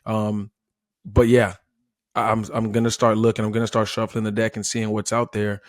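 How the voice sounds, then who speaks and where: A man with an American accent and a fairly low voice offers casual sports analysis. He is emphatic, restating points to drive them home, and the sound is close and dry. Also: little pitch movement, normal speed, no room sound. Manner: emphatic, repeats himself, says "listen". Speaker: former player turned sports analyst.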